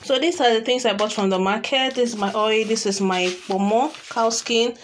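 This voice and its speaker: A woman's voice: a little high, moderate pace, a little hiss or hum. A young woman with a Nigerian accent chatting casually to viewers as a cooking vlogger, recorded at home on a phone. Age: young adult. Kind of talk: casual vlog chat to viewers. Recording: music over the voice.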